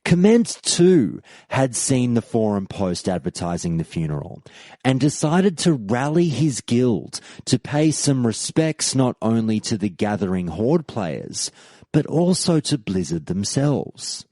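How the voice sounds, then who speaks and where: Man narrating a game history story. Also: dry recording. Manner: measured storytelling with lively pitch swings. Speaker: podcast host.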